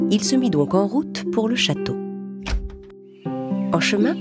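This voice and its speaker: A woman narrating children's fairy tales from a script in a measured, expressive storytelling manner. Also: mid-range voice. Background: music under the narration.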